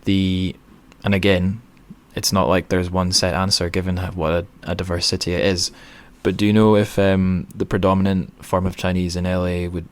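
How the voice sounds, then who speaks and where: Low-pitched man, chatty and informal. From northern Britain. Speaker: podcast host interviewer. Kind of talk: casual conversational interview.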